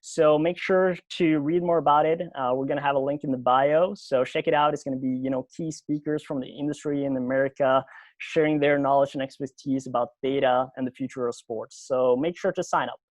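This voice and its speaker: A man hosting a podcast interview over a video call, speaking English as a second language, halting, with many fillers and restarts. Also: medium pitch, fairly even intonation, no echo. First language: not English. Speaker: podcast host.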